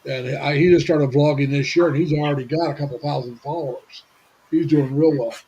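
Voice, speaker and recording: A man's voice: on the high side, fairly even intonation, dark tone, breathy edge, lo-fi sound. A man in his seventies from Indiana, hosting a casual livestream chat and rambling with lots of restarts. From Indiana, USA. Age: 70s. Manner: rambling, halting with many restarts. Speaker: livestream host. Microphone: video call.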